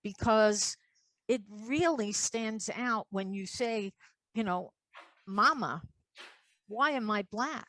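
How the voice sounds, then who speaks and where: A woman's voice: a little high, expressive, slow, long pauses, pushed and bright, dry recording. Woman host asking pointed questions. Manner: questioning, repeats words for stress. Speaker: talk show host. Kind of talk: interview hosting.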